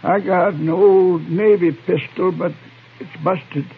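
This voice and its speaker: An actor playing a weary, meek man in his seventies from the frontier, husky and folksy, in an old radio western. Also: high voice, fairly even intonation, slow pace, heavily acted. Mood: weary, feeble. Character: worn-out old frontiersman, seventy-five, plain-spoken and meek. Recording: muffled, narrow-band old radio recording.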